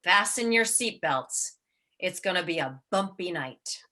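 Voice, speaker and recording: An actress playing a jealous, imperious ageing stage star in a radio play, with a biting, theatrical sarcasm. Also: female voice, on the low side, animated, measured pace, very noisy. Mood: acid, dry.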